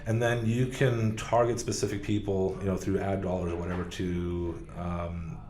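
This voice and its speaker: Rambling low-voiced man in echoey room. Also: little pitch movement, moderate pace, darkish tone. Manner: rambling, many fillers and restarts. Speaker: podcast guest, car sales professional. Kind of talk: casual interview talk. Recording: echoey room, faint background noise.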